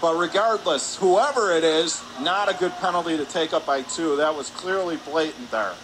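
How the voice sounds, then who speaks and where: A man's voice: on the high side, moderate pace. A man doing live radio play-by-play of ice hockey in short, emphatic running phrases. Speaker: play-by-play commentator. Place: indoor ice hockey arena. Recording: noisy arena ambience behind.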